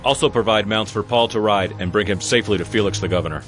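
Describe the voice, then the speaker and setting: A man's voice: fairly low pitch, quick pace, fairly bright tone, faint music behind, lightly acted. A man playing a stern Roman military tribune in a dramatized Bible reading, authoritative and formal. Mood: commanding.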